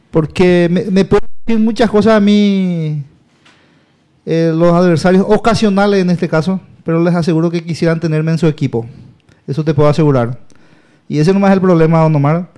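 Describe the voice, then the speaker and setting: High-pitched rambling man. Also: fairly even intonation, moderate pace, warm tone. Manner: rambling, emphatic, repeats himself. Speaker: local politician, guest. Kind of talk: casual campaign talk.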